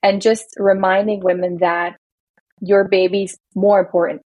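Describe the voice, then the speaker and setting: A woman's voice: medium pitch, little pitch movement, a little slow, a little mellow, no room sound, dull audio. A woman from Belgium, an attorney, explaining workplace rights in an earnest, casual podcast chat. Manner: earnest, explanatory, speaks in long building lists. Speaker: employment attorney guest.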